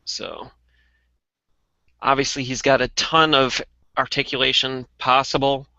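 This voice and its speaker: A man with a mid-pitched, bright and forceful voice talks casually as a podcast co-host. The sound is close and dry, though a little dull and lo-fi. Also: little pitch movement, unhurried, gravelly, dry recording. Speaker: co-host of a toy collecting podcast. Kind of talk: casual chat. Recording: dull, muffled lo-fi audio.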